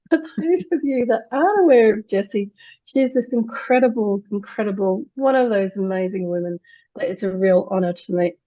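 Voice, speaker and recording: A woman's voice: medium pitch, warm tone. A woman hosting an interview show, effusive and gushing, often stumbling and restarting her sentences. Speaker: show host. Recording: dull lo-fi sound.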